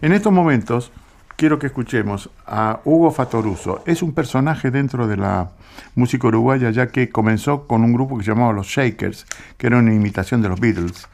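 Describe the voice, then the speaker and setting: A man, speaking Spanish as a radio music commentator, explaining in an unhurried way with small hesitations. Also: medium pitch, gravelly, darkish tone. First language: Italian.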